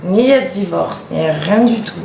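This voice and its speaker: A woman's voice: lowish voice, animated, fast talker, gravelly, over loud music. A woman in her late thirties from eastern France with a Franc-Comtois accent, chatting on a home live stream and answering viewer comments in a chatty, emphatic, exasperated way. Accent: Franc-Comtois French. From Franche-Comté, eastern France. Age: late 30s. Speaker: live stream host, mother of a large family. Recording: echoey room, faint background noise.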